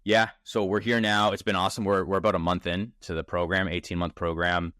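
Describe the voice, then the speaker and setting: A man of thirty, a startup founder as podcast guest, talking in a casual, energetic way with many restarts and fillers. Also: low-pitched, brisk, clean tone, no room sound, studio quality. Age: 30s. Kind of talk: casual interview conversation. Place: Toronto.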